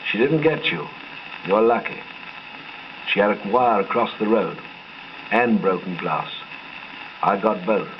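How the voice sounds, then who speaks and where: Man reading as a grumbling, hoarse Cockney crook. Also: unhurried, pauses often, lightly acted. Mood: complaining. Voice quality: hoarse, low. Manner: urgent, complaining. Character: rough, grumbling, unshaven Cockney crook in danger. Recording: dull, noisy 1920s gramophone record.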